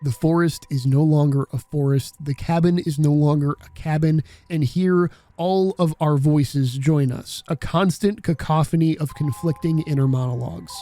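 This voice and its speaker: Mellow-voiced man narrating a video essay. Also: a little high, steady tone, normal speed, few pauses, soft background music. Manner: casual, reflective and occasionally profane.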